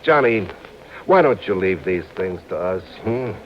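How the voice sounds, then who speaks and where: Sarcastic american cop lieutenant, blustery, voice jumps all over, old radio drama with some hiss. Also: male voice, low-pitched, a little slow. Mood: exasperated, mocking. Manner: blustering, sardonic, animated. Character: gruff, exasperated, sarcastic male city police lieutenant. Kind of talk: 1950s-60s radio detective acting. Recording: muffled, slightly noisy old radio broadcast.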